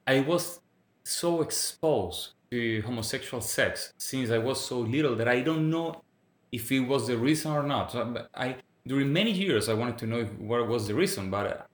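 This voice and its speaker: Latin guest, 30s, Venezuelan Spanish accent, hesitant phrasing, echoey room sound. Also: male voice, medium pitch, lively intonation. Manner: halting, restarts phrases, laughs often. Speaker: guest evangelist. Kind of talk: casual interview.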